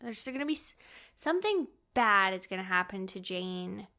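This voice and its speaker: A woman co-hosting a podcast in casual book chat, with a gushing, excitable manner. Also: mid-range voice, normal speed. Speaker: podcast co-host.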